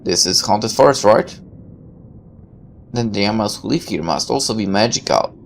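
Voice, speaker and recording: A woman's voice: extremely low pitch, animated, normal speed, slight background noise. A woman playing a visual novel, reading the text aloud and dropping irreverent, sarcastic asides. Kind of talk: game playthrough, reading text aloud with offhand commentary.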